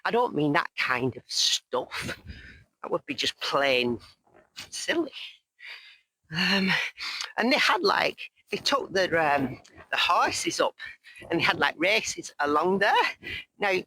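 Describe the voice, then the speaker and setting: Woman, hoarse, rambling jokey vlog chat outdoors, bright voice that swoops up and down, slow delivery. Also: lowish voice, very animated. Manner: chatty, rambling, jokey and self-mocking. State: hoarse, losing her voice. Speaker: vlogger. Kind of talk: casual chat to camera while hiking. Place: outdoors on a mountain path.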